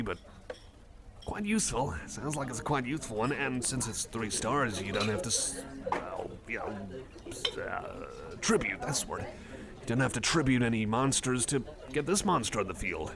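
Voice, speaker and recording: A man voicing a friendly, nerdy cyborg card collector in an ASMR roleplay, rambling casually with a halting, apologetic manner. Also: mid-range voice, lightly acted. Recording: cafe chatter behind the voice. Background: cafe crowd ambience.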